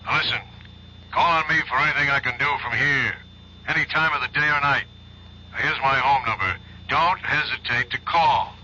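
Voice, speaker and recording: An actor playing an American bureau chief on the telephone in a 1970s radio drama, plain-spoken and apologetic. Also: male voice, medium pitch, moderate pace, bright, forceful tone, slight background noise. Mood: helpful. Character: gruff but kindly American male bureau chief. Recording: dull old radio broadcast.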